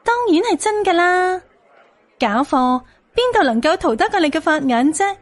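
A woman voicing a chirpy, flattering young Cantonese waitress in an audiobook, eager to please. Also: very high-pitched, normal speed, no echo.

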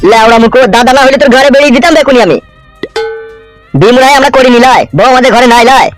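A man acts out a boastful cartoon villager with a very high, squeaky male voice. He blusters and curses at top speed in a rural West Bengal accent, faint music behind. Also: fast. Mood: indignant. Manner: blustering, cursing. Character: boastful, domineering, foul-mouthed village man. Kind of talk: comedy cartoon dialogue.

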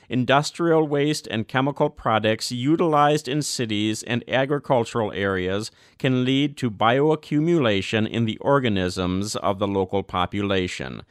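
A man giving a measured, earnest audiobook reading of a formal church document. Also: mid-range voice, no echo, clean studio sound.

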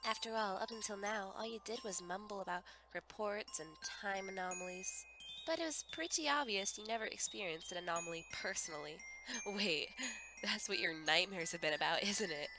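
A woman's voice: mid-range voice, normal speed, music in the background, natural voice. A woman voicing a smug, murderous child with a mocking, gloating manner in a comic dub. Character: taunting murderous child, smug, cruel and knowing.

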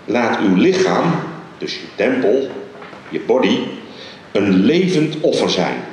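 Middle-aged male preacher, emphatic and pausing, handheld mic in a big reverberant church hall. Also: on the low side, far from the mic, slight background noise. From Netherlands. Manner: animated, emphatic preaching with many pauses. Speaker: guest preacher. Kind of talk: sermon. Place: large dark church hall stage. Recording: very echoey large hall.

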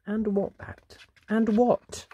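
A woman's voice: mid-range voice, level tone, slow pace, warm tone, husky, no echo, highs cut off. A woman hosting a children's read-aloud, questioning young listeners and reacting expressively to the pictures. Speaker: children's story reader, host.

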